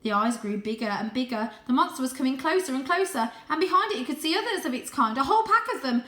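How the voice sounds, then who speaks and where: A woman narrates a children's story in a bright, clear-cut voice. Her delivery is animated and suspenseful, like a dramatic audiobook. The room around her adds a noticeable echo. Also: high-pitched, fast. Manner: animated, suspenseful, with gasped asides. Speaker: storyteller reading a children's book aloud.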